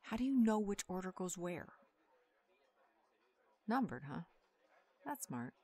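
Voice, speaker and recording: A woman's voice: medium pitch, sing-song, slow, dark tone, breathy edge, exaggerated voice. An actress playing a sassy, flirtatious part-wolf woman with a menacing edge, sardonic and playful, in an audio roleplay.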